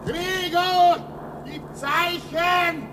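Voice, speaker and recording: A man's voice: very high voice, fairly even intonation, very slow, echoey room, recorded from a distance, very noisy, exaggerated voice. A man acting an old, fatherly, solemn and exclamatory figure in a German radio play. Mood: urgent.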